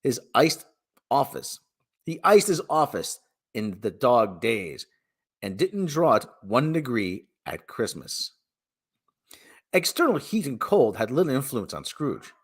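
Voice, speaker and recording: A man giving a dramatic reading of a story, with theatrical, deliberate and emphatic phrasing. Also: medium pitch, big pitch swings, breathy edge. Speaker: reader and streamer.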